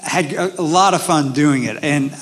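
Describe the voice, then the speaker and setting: Halting man with many fillers. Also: fairly high pitch. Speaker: performer of a one-man history show, interview guest. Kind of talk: casual interview talk.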